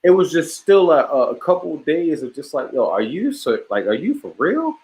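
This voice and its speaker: A man talking as an interview guest in a relaxed, emphatic way, full of slang, restarts and boasts. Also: fairly high pitch, normal speed, low-quality recording. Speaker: podcast host, guest on the show. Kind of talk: casual interview chat.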